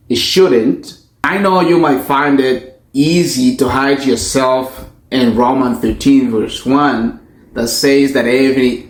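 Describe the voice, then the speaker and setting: A man from Tanzania with a Tanzanian accent, a pastor making an earnest personal statement in a deliberate, emphatic way. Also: medium pitch. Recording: echoey, roomy sound.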